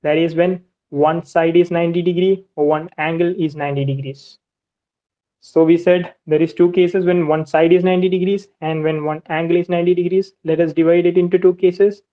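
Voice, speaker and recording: Navigation teacher, whiteboard lesson, step-by-step, repetitive, monotone, muffled lo-fi voice-over. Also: mid-range voice, a little fast. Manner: methodical, step-by-step explaining with much repetition. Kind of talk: screen-recorded whiteboard lesson.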